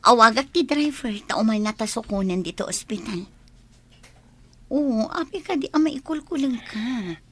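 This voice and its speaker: Attentive wife for Ilocano radio drama, higher-pitched female voice, played by an actress. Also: animated, normal speed, a bit bright, slight background noise. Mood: gently coaxing. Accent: Filipino, Ilocano. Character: attentive woman posing as an amnesiac man's wife.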